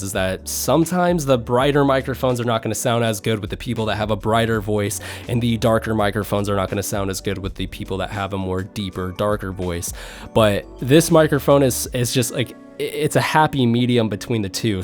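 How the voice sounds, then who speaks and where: A man with a fairly low voice talks through a gear review in an easy, casual way, doubling up words as he goes. He is recorded close on a studio condenser mic, with faint music underneath. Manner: relaxed, repeats words for emphasis. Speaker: YouTube host reviewing microphones. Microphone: studio condenser microphone.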